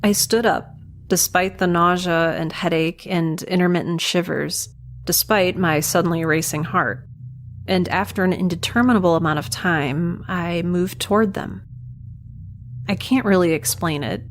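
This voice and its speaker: A wry, lower-voiced captive scientist. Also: female voice, measured pace. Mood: uneasy, recounting. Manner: wry, conversational, sardonic, stubbornly reasoning. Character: wry, defiant young woman scientist held captive in space. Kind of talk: first-person audio drama monologue and dialogue. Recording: faint background noise and ambience.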